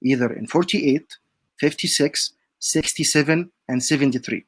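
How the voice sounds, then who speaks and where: A man's voice: on the high side, normal speed, dry recording. A young man from Egypt, a podcast guest giving long, earnest answers that lay things out step by step.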